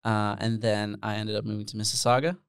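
A young man from the Netherlands talks in a relaxed, meandering way as an interview guest. His voice sits fairly low and sounds smooth and clean. It is close to a handheld mic in a dry, small room. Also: brisk, no room sound. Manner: rambling, self-deprecating, many fillers. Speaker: guest musician. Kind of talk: casual interview chat. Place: small room with bare walls. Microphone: handheld dynamic mic, headphones on.

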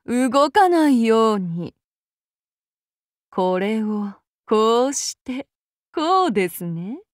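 Slow, high-voiced, courteous, menacing avenger demigoddess. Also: female voice, no room sound, clean studio sound, full character voice. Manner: archaic formal polite speech, slow and deliberate. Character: soft-spoken, courteous, menacing female avenger demigod warrior. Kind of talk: anime mobile game voice lines.

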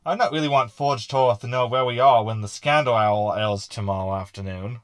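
A man's voice: mid-range voice, moderate pace, dry recording, clean studio sound, natural voice. A man playing a snide, teasing crewmate with a sarcastic manner in a sci-fi comedy audio drama. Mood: impatient. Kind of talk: sitcom audio drama acting.

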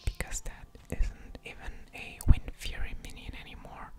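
A woman whispering ASMR game commentary in a breathy voice with soft mouth sounds, musing aloud over each move. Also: moderate pace. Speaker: game streamer, ASMR creator.

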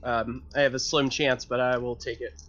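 A man with a bright, mid-range voice talks as a player at a tabletop game. He speaks casually, working out his moves aloud and a little tentatively. The recording is slightly dull and lo-fi. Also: mid-range voice, flat delivery, normal speed, a little hiss or hum.

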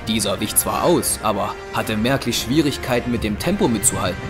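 A man narrating a scripted dramatic action story as the narrator of an audio drama. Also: mid-range voice. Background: music.

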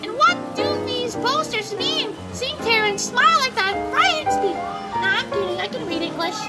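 A performer in a comic dub voicing a perky, caring young female bird, expressive and exclamatory, over loud music. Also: extremely high pitch, moderate pace. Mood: puzzled, wry. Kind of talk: comic dub character acting.

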